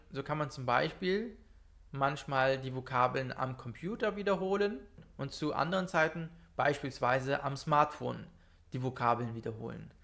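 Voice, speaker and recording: A man teaching German in a calm, methodical monologue, speaking slowly and clearly for learners. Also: medium pitch. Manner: calm, methodical, lists numbered tips.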